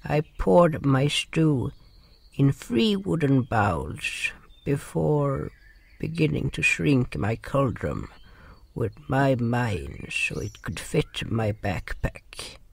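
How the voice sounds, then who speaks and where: A man playing a gentle, sorrowful creature who narrates an audio drama in a deliberate, solemn way. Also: medium pitch, big pitch swings, gravelly, no room sound, full character voice. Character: gentle, sorrowful non-human narrator River, caring companion.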